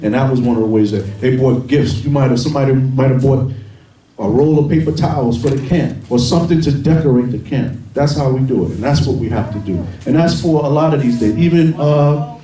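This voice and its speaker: A man's voice: medium pitch, brisk, dark tone. A man in a congregation speaking earnestly and encouragingly about celebrating holidays their own way. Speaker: congregation member. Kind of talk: group discussion. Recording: distant mic in an echoey room.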